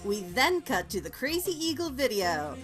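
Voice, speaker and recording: A woman's voice: a little high, big pitch swings, moderate pace, fairly bright tone, faint music behind. A woman narrating stage directions from a script at a table read.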